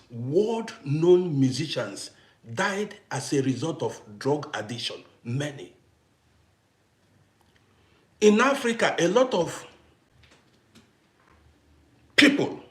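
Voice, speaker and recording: A man's voice: on the high side, reverberant. A middle-aged man from Congo hosting a casual live stream, talking emphatically, repeating himself and greeting viewers by name. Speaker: TikTok live host.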